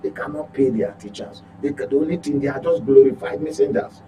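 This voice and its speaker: A man's voice: mid-range voice, a little fast, dull audio, noisy background. A Nigerian man speaking as a guest commentator in a political discussion, emphatic and repeating phrases for stress.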